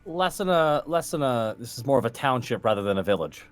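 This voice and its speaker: A man's voice: medium pitch, animated, brisk. A man chatting casually as a player in a tabletop role-playing game.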